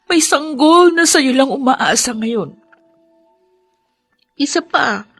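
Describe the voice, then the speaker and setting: A woman acting a protective, devoted younger sister in a Filipino radio drama, expressive and pleading. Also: sits high, slightly rough, no room sound, heavily acted.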